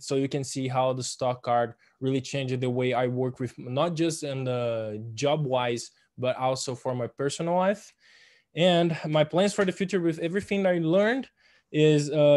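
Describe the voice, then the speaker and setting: A young man whose first language is Portuguese, giving a student presentation over a video call in a halting way with many fillers. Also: on the high side, a little mellow. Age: young adult. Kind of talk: online presentation.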